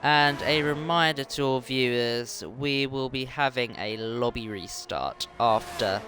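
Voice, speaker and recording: A man's voice: mid-range voice, a little slow, bright, forceful tone, a little hiss or hum. A man giving play-by-play commentary on an online racing league, his voice worn and tired from streaming, with frequent ums. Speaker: race commentator.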